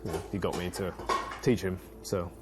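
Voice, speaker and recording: A man's voice: low-pitched, flat delivery, moderate pace, lightly acted. A man playing a young suitor who chats up a woman with friendly, hesitant small talk. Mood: eager. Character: young male suitor, chatty and eager. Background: background music.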